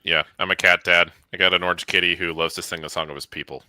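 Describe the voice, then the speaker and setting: A man's voice: deep voice, little pitch movement, fast talker, sharp tone, no room sound. A man chatting casually as an interview guest, with a playful, wry manner. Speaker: guest, project team member.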